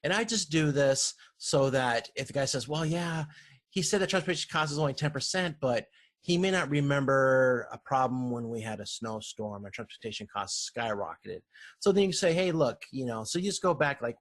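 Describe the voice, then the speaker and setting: A man lecturing informally over slides, explaining in a halting way and often correcting himself. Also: medium pitch. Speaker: university lecturer.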